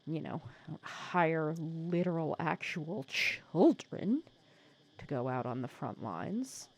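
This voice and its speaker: A woman playing a soft, low, sly villainess. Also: expressive, takes it slowly, slightly rough, full character voice. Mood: persuasive, frank. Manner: casual, teasing, persuasive, sardonic. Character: sly female supervillain, secretly caring, courting her hero nemesis. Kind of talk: intimate ASMR audio roleplay.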